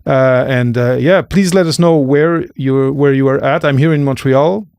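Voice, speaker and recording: A man hosting a conversational podcast interview, often halting and rephrasing as he goes. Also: mid-range voice, vocal fry, a little mellow, smooth, no room sound, clean studio sound. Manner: halting, rephrases and repeats phrases. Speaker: podcast host.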